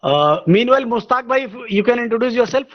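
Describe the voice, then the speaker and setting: A man from India hosting an online community meetup, enthusiastic and halting, often repeating words. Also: very high-pitched, expressive, no echo, dull audio. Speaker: meeting host.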